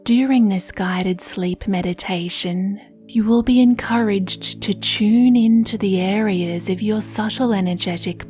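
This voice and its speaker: Slow, mellow woman guiding sleep meditation. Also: mid-range voice. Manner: soothing, hushed, breathy delivery with long pauses. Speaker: meditation guide. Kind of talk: guided sleep meditation, scripted narration.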